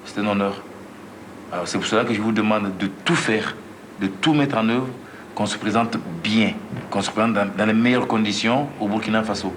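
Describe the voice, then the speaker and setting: A man's voice: fairly low pitch, normal speed, a bit bright, slight background noise. A man, a coach giving his young team an earnest pep talk, stumbling over a few words. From Ivory Coast.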